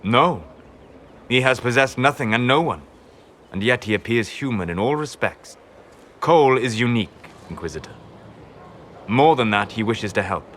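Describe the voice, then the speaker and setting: An actor playing a calm, scholarly male mage in a video game, speaking in a measured, precise, formal way. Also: mid-range voice, a little hiss or hum, close to an everyday voice. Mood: earnest, intrigued. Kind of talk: dramatic video game acting.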